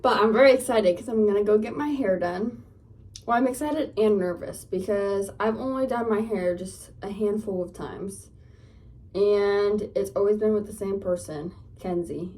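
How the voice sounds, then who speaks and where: A woman's voice: medium pitch, normal speed, a little mellow. Rambling young Californian woman vlogging. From Northern California. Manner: rambling, chatty, lots of fillers. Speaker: lifestyle vlogger. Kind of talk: casual get-ready-with-me chat. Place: home, getting ready. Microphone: phone camera. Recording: voice a bit off-mic, from a distance.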